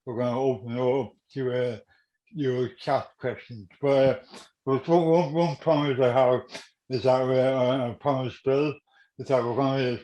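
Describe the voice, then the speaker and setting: A man with a Dublin accent hosting an online interview informally, halting and often repeating his words. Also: medium pitch, steady tone, mellow. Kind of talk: informal introduction and questioning at an online event. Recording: roomy, echoing online call.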